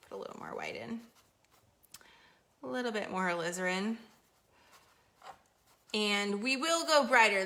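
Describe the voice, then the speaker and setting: A woman teaching a live paint-along lesson from her studio easel, chatty and encouraging, a little hoarse as she is losing her voice. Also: a little high, pushed and bright. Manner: chatty, encouraging, reads viewer comments aloud. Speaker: painting instructor. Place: home art studio at an easel.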